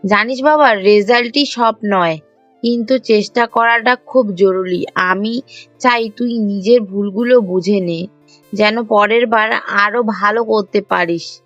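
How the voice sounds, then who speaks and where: A woman performs a caring mother in an audio story, firm yet forgiving with her teenage boy. She gently chides and encourages. The sound is dry and slightly muffled, with soft music behind. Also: mid-range voice, slow pace, no echo, close to an everyday voice. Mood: gently encouraging. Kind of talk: Bengali audio story acting.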